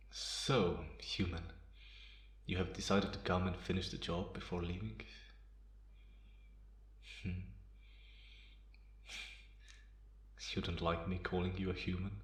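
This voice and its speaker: A man playing a calm, menacing male vampire in an ASMR roleplay, speaking in a deliberate, taunting way in a roomy, echoing space. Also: low voice. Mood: amused, taunting. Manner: deliberate, softly chuckling, taunting. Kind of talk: ASMR roleplay, slow intimate monologue.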